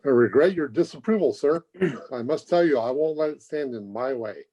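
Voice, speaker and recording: A man's voice: mid-range voice, lively intonation, moderate pace, dark tone, lightly acted. A man playing a brash, flippant, jaunty young suitor in an old-time radio drama. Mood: defiant. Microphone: video call. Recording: dull lo-fi video call.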